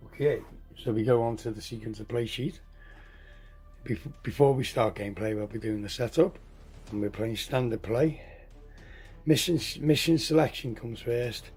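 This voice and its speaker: Hoarse gravelly man explaining a board game. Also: medium pitch, darkish tone. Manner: halting, clears throat often, corrects himself. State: hoarse, recovering from a cold. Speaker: tabletop game tutorial host. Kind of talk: casual step-by-step game setup walkthrough.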